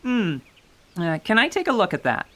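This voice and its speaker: A woman voicing a calm, protective horned giant woman in a tabletop radio drama, measured and gently dry. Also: mid-range voice, pitched above the performer's own voice.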